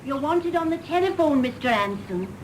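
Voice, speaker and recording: A woman playing a deferential, confiding household servant in a radio drama, speaking in a hushed manner. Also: sits high, expressive, normal speed, a little hiss or hum, rough recording. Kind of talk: stage play performed as broadcast drama.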